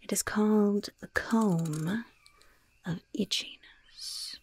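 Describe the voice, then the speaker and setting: A woman's voice: mid-range voice, slow pace, a little mellow, a little hiss or hum. A woman voicing a sly goblin shopkeeper who sells poisons and curses, in a gleefully understated, coaxing whispered ASMR roleplay. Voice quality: breathy, gravelly whisper. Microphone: close microphone.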